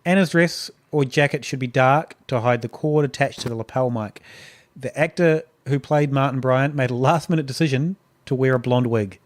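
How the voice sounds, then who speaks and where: A man's voice: mid-range voice, moderate pace. A man co-hosting a podcast, chatting casually and joking around. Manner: joking, easily amused, chatty. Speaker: podcast co-host. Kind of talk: casual banter.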